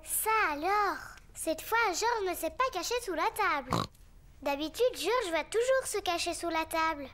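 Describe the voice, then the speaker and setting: A woman voicing a cheerful, bossy little girl pig in a children's cartoon, with a lively, emphatic, childlike delivery. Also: extremely high pitch, measured pace, faint music behind, full character voice. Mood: eager.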